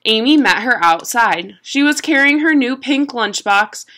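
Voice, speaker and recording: Young woman reading slowly, lively pitch. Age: young adult. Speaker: storybook reader. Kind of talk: children's picture book read-aloud. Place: small room at home.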